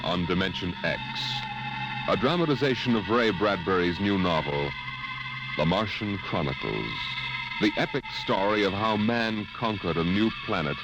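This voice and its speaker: A man's voice: low voice, expressive, moderate pace, loud music behind the voice. Portentous old-time radio narrator, man. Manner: portentous, dramatic delivery. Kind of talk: dramatic 1950 radio trailer narration. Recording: dull lo-fi 1950 radio recording.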